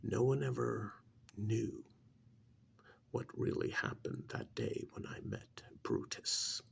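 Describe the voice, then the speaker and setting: A man voicing an ancient alien creature who scorns humans, in a gravelly, breathy ASMR roleplay monologue that often sinks into whispers, slow and brooding. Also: lowish voice, sing-song, slight background noise. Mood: quietly sinister. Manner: slow, deliberate, brooding, with sighs and pauses.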